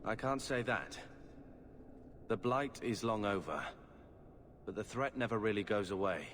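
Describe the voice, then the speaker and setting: An actor playing a proud, battle-worn young warrior in a fantasy video game, dry and sardonic. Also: male voice, lowish voice, unhurried, a bit bright. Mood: weary, bitter. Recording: echoing reverb with background noise.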